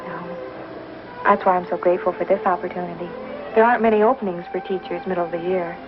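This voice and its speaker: Proper young frontier schoolteacher played by an actress, muffled old soundtrack. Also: female voice, on the low side, steady tone, quick pace, natural voice. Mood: friendly, earnest. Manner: proper, precise diction. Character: firm but kindly young frontier schoolteacher woman. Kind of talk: 1950s television Western acting. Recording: muffled old 1950s TV soundtrack, loud music.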